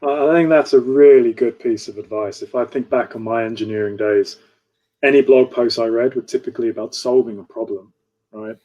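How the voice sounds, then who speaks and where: A man's voice: medium pitch, steady tone, a little fast, warm tone, muffled recording. A man moderating an online fireside chat over a video call, conversational and often ending his points with "right?".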